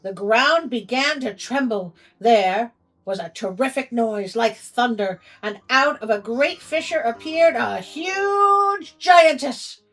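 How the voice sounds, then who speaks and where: Female storyteller and host: higher-pitched, unhurried, dramatic reading with wry commentary. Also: a bit bright, poor audio. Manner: theatrical, measured. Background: music.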